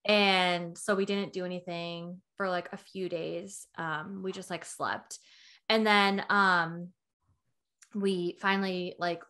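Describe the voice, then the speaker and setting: A woman's voice: lowish voice, level tone, slow, pushed and bright, clear, resonant tone. A young woman co-hosting a podcast in casual chat, talking with many "like"s and acting out what others said. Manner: chatty, heavy use of "like", acts out quoted people. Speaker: podcast co-host.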